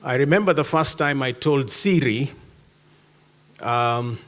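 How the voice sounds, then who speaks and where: A man giving a conference talk in a hall, measured with sudden emphatic jumps. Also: mid-range voice, a bit bright. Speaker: conference speaker.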